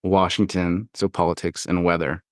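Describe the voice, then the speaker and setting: Thoughtful low-voiced male interviewer. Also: level tone, moderate pace, no room sound, studio quality. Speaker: podcast interviewer. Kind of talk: reflective conversational interview.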